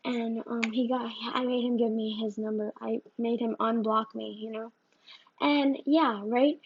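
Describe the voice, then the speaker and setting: A woman's voice: fairly high pitch, steady tone, a little slow, husky. A woman vlogger ranting to the camera in a rambling way, often tacking on "right?" and "you know?", recorded on a phone. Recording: slightly muffled phone recording.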